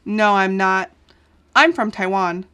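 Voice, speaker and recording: A woman's voice: medium pitch, slow, sharp tone, smooth. A woman reading English listening-test dialogue lines with careful, well-articulated delivery. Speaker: dialogue reader.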